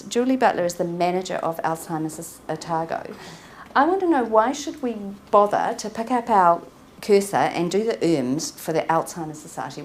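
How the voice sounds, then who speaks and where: A woman GP chairing a panel discussion, measured as she introduces the speakers and poses questions. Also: fairly low pitch, a little hiss or hum. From New Zealand. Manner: measured, addresses speakers and audience. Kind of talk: panel moderation. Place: conference room.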